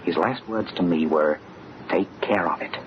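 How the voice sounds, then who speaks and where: An actor playing an earnest, agitated young fiancé in an old-time radio mystery, speaking with dramatic insistence. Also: male voice, low voice, normal speed, lightly acted. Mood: brooding, intent. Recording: muffled, noisy old radio recording.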